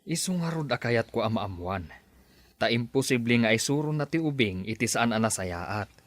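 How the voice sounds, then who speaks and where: Man as an inquisitive boss with animated pitch. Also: normal speed, dry recording, close to an everyday voice. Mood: concerned. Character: inquisitive, sensible male boss and loyal friend. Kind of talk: radio drama acting.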